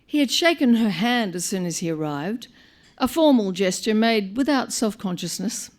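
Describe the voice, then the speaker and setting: A woman reading a short story live to an audience, with wry comic narration, dramatic emphasis and deliberate pauses. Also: mid-range voice, animated. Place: live on stage at a performance venue.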